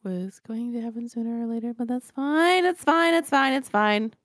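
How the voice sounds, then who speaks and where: A woman's voice: fairly high pitch, moderate pace, dry recording, clean studio sound. A young woman co-hosting a book podcast in casual chat, swearing freely and talking over her co-host.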